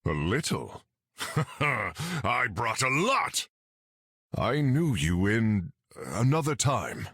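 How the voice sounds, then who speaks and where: Husky, deliberate Norse god: proud, hearty, grandly formal video game warrior. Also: male voice, medium pitch, sing-song, fairly bright tone, dry recording, clean studio sound, full character voice.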